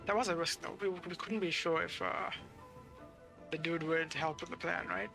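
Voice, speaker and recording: A male streamer gives casual, grumbling gameplay commentary, half to himself. His voice sits fairly high with plenty of fry, and game music plays clearly behind him. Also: steady tone, moderate pace.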